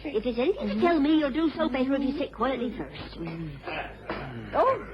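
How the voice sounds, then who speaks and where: An actress playing a sensible, protective English innkeeper's daughter in a radio drama, firm and soothing. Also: female voice, high voice, very animated, moderate pace, crowd noise. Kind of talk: British radio drama acting. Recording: dull old radio recording, clear noise.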